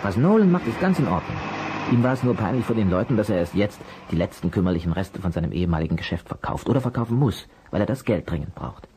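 A detective in a radio play, low in pitch and very animated. He is cautious and authoritative, urgently giving orders to young helpers, on a noisy old record or tape transfer. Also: fast talker. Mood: explaining. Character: male detective leading two young helpers, cautious, commanding. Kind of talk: German audio drama acting.

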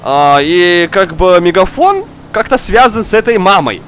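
High-pitched, animated young man gaming, slightly sick. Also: measured pace, a little hiss or hum. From outside Russia. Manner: animated, exclaiming, repeats words, talks to viewer. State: slightly ill, coughing now and then. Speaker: gaming streamer. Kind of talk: excitable casual gameplay commentary. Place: indoor room, seated at racing wheel.

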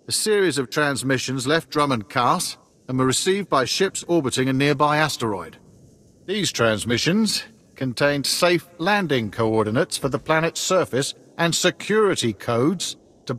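Game military major, fairly high voice, composed, precise, businesslike briefing. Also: male voice, fairly bright tone. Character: composed, formal male Imperial major giving a military briefing. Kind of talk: scripted video game briefing.

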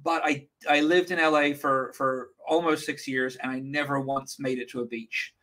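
A man in his thirties from New Zealand, a guest telling stories on a video call in a wry, deadpan way. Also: medium pitch, moderate pace. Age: 30s. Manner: wry deadpan storytelling, many fillers. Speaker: guest comics creator. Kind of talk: casual interview chat. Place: home room, indoors. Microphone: video call webcam.

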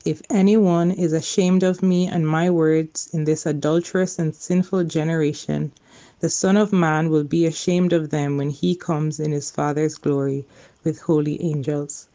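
A woman's voice: low voice, fairly even intonation, moderate pace, mellow, muffled recording. A woman giving a formal Bible reading as a church lector. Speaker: scripture reader.

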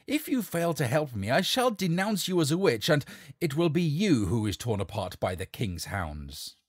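A man's voice: on the high side, sing-song, moderate pace, no room sound, clean studio sound, voice pushed up. A man voicing a cowardly, scheming male charlatan in a storybook reading, with a sly, bullying manner. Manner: wheedling, then shrieking and bullying.

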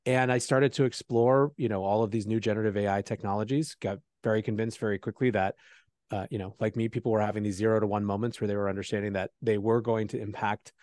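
Fast-talking low-voiced man. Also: fairly even intonation, a little mellow, no room sound, studio quality. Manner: rapid, fluent with frequent "you know" fillers. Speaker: podcast guest, show host. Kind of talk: conversational interview answer.

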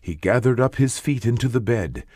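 A male narrator reads scripture in a solemn, declamatory way. His pitch sits in a middle range but moves widely from phrase to phrase. The delivery is measured and dramatic, and the sound is dry with no room around it. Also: animated, darkish tone, slightly rough, no echo. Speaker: audiobook narrator.

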